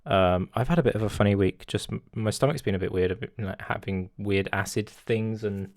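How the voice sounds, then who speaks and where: Laid-back young man, low voice. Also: brisk, a little mellow, no room sound, clean studio sound. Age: 20s. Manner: rambling, lots of fillers and laughter. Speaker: podcast host. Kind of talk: casual podcast chat.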